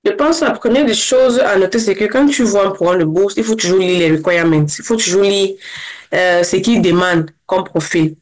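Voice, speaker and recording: Brief: young Cameroonian woman, interview guest, chatty, quick, restarts a lot, middle-pitched voice. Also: rough recording. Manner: fast, with many restarts. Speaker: interview guest, former scholarship holder. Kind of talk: casual interview.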